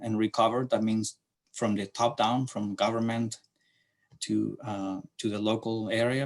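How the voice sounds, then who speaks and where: A middle-aged man from Chile with a Chilean Spanish accent, giving a slide presentation to a class in a halting way with many fillers and restarts. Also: fairly low pitch. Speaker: city transportation planner presenting to a class.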